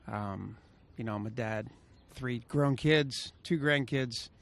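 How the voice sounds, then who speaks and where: A middle-aged man from Philadelphia, rambling and getting animated in a street interview. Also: medium pitch, slow pace, vocal fry. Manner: rambling, animated when riled. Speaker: passerby interviewee. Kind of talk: casual street interview answers. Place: outdoors on a city street.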